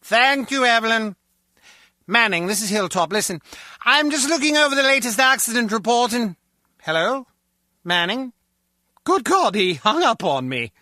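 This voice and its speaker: An actor playing a fussy, formal, exasperated company boss in a video game. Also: male voice, very high-pitched, lively intonation, normal speed, long pauses, sharp tone, dry recording, studio quality, exaggerated voice.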